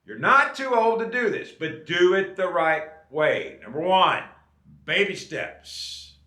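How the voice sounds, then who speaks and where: A man's voice: sits high, animated, slow pace, pushed and bright, reverberant. A man in his late fifties giving casual, folksy advice to viewers, often checking in with "okay?". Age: 50s. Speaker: drummer and channel host.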